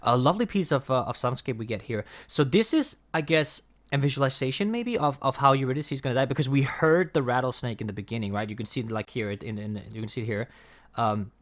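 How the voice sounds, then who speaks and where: A man's voice: medium pitch, quick pace. A man whose first language is Danish, hosting a casual music reaction and talking in a rambling way with many fillers and restarts. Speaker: reaction video host.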